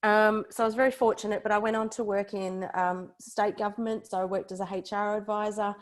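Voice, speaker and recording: Australian female, 40s, conversational podcast guest, chatty and informal, mid-range pitch. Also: steady tone, a little fast. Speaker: podcast guest, personal branding expert. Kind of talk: conversational interview, recounting own career.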